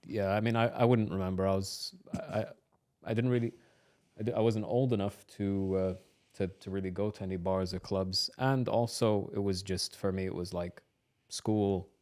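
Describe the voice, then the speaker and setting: Guy around 30 from new zealand via iraq, low voice, english, hesitant casual interview chat. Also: fairly even intonation, normal speed, darkish tone. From Iraq-born, grew up in New Zealand. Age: about 30. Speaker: interview guest. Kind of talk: casual autobiographical conversation.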